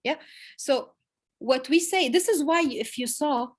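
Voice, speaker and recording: A woman giving an emphatic motivational talk to her business team, repeating key phrases and pausing for effect. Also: sits high. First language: Arabic. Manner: emphatic, repetitive, pauses for emphasis. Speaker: network marketing leader giving a motivational talk. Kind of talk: motivational speech.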